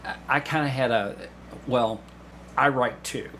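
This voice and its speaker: A man chats casually as a guest, telling stories in a halting way and repeating himself as he goes. His voice is mid-pitched, with some noise in the background. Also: a bit bright. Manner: halting storytelling with repeated phrases and acted-out asides. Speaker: podcast guest, amateur actor. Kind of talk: casual anecdotal conversation. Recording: clearly audible background room noise.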